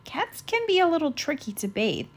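A woman playing a sweet, helpful girl pet groomer in a storybook, with a soft, cooing manner. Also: high voice, sing-song, moderate pace, pitched above the performer's own voice.

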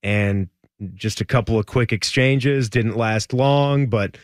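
A man's voice: mid-range voice, slow pace, no room sound, studio quality. A man hosting a post-game college football radio show, rattling off scores and joking with his co-host. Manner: lively, rattles off scores and jokes.

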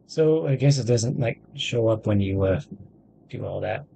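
A man in his thirties giving casual, halting gameplay commentary, thinking aloud as he plays. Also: fairly low pitch, expressive, gravelly, warm tone, slight background noise, poor audio. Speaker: gamer recording a playthrough.

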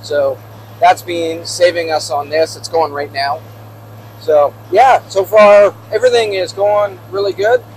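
A man's voice: on the high side, a little slow, low-quality recording. A man in his thirties chatting casually while he drives an old pickup, explaining his truck build to the camera. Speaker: vlogger. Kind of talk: casual road trip vlog. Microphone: small clip-on mic on the shirt. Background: engine and road hum.

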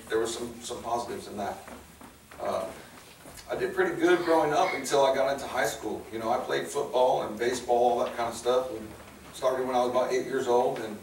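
A man in his forties from Chicago, with a Chicago accent, sharing his personal testimony to a church crowd in a plainspoken, earnest way. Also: mid-range voice. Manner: casual, plainspoken, earnest, folksy. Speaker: guest speaker sharing testimony. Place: large hall with stage, indoors. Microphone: handheld or lapel mic, filmed by a far camera. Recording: roomy hall, distant mic, noisy.

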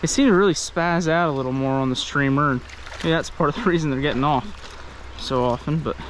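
Outdoors fishing vlogger, male, casual and excited, action-cam audio with a soft river hiss. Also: on the high side. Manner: chatty, excited, talks to the fish. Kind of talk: casual narration while fishing. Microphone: head-mounted action camera. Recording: faint river noise outdoors. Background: rushing stream water.

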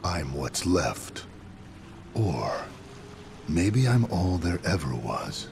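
A man voicing a grave, enigmatic leader of a hooded order in a game cutscene, solemn and measured, cold and calm. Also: low-pitched, gravelly, noisy background, full character voice. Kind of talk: video game cutscene acting.

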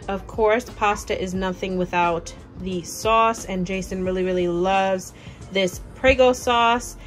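A young woman talks slowly and easily through her groceries in a home kitchen, chatty and relaxed, doubling words like "really, really" for emphasis. Her pitch sits in a middle range. Music plays clearly under her voice. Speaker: vlogger showing a grocery haul. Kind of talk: casual show-and-tell narration. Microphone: camera pointed down at items on a kitchen counter. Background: background music.

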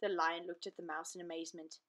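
A woman narrating a children's storybook aloud in an expressive, deliberate, dramatic way. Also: on the low side.

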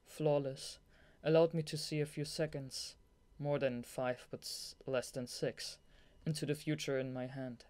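Young narrator with a mid-pitched voice. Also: unhurried, hardly any pauses. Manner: giggly, excitable asides between read passages. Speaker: audiobook reader. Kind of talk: scripted novel narration with blooper asides.

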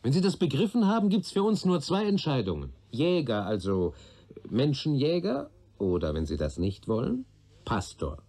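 A man's voice: mid-range voice, wildly swooping pitch, normal speed, dark tone. An actor playing a sardonic, world-weary male police detective who probes and questions in a radio play. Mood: weary, irritated. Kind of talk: radio drama dialogue.

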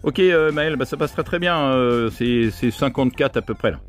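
A man's voice: medium pitch, normal speed. A man talking casually as an amateur radio operator, trading call codes and signal reports. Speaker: amateur radio operator running contacts from a hilltop. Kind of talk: casual radio contact exchange with operator jargon. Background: music.

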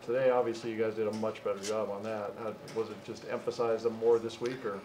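Male reporter asking questions from the floor, low and flat, with some faint noise behind. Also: a little fast. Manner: casual, rambling questions. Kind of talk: asking questions at a press conference.

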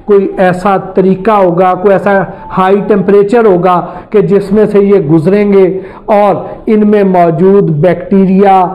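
A man's voice: sits high, highs cut off. A middle-aged man from Pakistan, presenting from a studio desk and explaining what the footage shows, step by step. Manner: earnest, explanatory, describing step by step. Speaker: investigative reporter.

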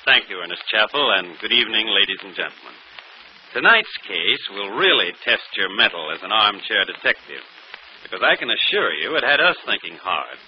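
An assured gentleman detective hosts a vintage radio mystery. His delivery is very lively, on a dull recording with faint noise. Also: lowish voice, brisk. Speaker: host. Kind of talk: 1940s radio acting. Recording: muffled, noisy old radio broadcast.

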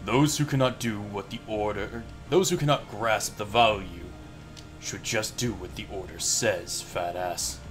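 A man's voice: lowish voice, unhurried, fairly bright tone, soft background music. A man voicing a serious, secretive, commanding hooded henchman in a game, speaking with stern condescension. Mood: stern, threatening.

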